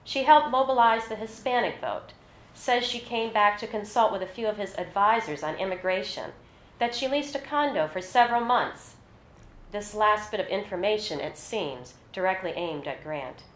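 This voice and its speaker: A woman's voice: mid-range voice, expressive, moderate pace, a bit bright. Echoey live reading by a woman author. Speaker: author reading her own short story. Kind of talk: literary reading of scripted fiction to an audience. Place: indoor hall at a literary reading. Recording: roomy, echoey live event recording.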